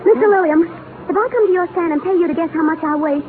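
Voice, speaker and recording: A woman's voice: extremely high pitch, fast talker. An actress playing a pert, defiant young girl at a carnival in a 1930s radio drama. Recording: tinny 1930s radio broadcast.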